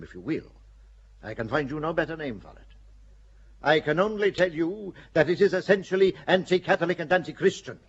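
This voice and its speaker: An actor playing a calculating bishop in a radio play, speaking in a measured, persuasive way with a husky voice. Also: male voice, on the high side, muffled recording. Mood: fervent. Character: shrewd older churchman, a bishop, calculating.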